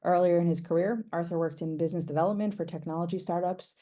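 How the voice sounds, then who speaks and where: A woman's voice: on the low side, fairly even intonation, fast, warm tone. A woman hosting a video call, reading formal introductions in a polished way. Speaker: host.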